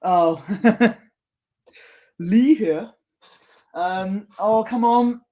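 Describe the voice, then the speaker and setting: A young man co-commentating on a football match as a fan, excitable and quick to laugh, stretching out his exclamations. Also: sits high, a crowd in the background. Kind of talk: casual watchalong co-commentary.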